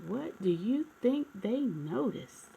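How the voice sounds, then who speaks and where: A woman hosting story time, reading a picture book expressively, with dramatic pauses and asides to the children. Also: a little high, gravelly, lo-fi sound, a little hiss or hum. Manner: animated, asks listeners questions, dramatic pauses.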